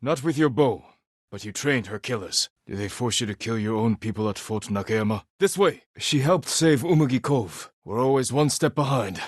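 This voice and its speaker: An actor playing a grave, resolute samurai warrior in a video game, speaking in measured, solemn, terse lines with a husky voice. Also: male voice, medium pitch, animated, dry recording, studio quality, lightly acted. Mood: urgent, stern. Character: stoic, honour-bound samurai warrior, adult man, grave and resolute. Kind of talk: dramatic scripted game dialogue.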